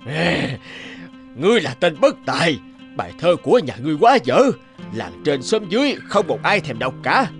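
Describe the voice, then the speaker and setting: A man's voice: fairly high pitch, very animated, moderate pace, soft background music. A man voicing a greedy, vain, blustering village landlord in a cartoon, pompous and bossy. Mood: indignant. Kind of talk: cartoon dubbing.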